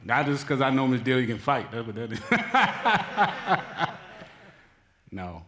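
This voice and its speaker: A man preaching a sermon, telling a comic story with shouted cries and playful asides to the congregation. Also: mid-range voice, big pitch swings, extremely slow, slight background noise. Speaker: pastor. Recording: long reverb of a large hall.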